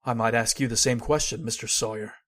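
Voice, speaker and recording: A man's voice: medium pitch, fairly even intonation, fairly quick, fairly bright tone, natural voice. A man playing a skeptical but haunted narrator in an old-time radio horror drama. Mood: uneasy.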